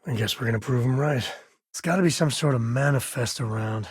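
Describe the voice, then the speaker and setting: An actor playing a wry, protective space-cowboy father in a video game, with a husky voice and a casual, folksy, sardonic way of talking. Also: medium pitch, a little fast, dry recording, clean studio sound. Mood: wry, easygoing. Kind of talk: scripted game companion dialogue.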